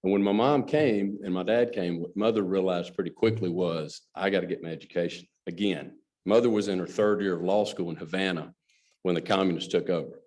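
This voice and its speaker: A man's voice: low voice, a little fast, a little mellow. A middle-aged man giving an earnest luncheon speech as a company president. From Alabama, USA. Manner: earnest storytelling, addresses audience directly. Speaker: guest speaker, company president.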